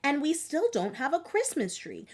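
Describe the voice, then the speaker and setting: A woman puts on a cheerful storybook mother bear, generous and expressive. Her voice sits fairly high and swoops widely in pitch. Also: normal speed. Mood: fretting.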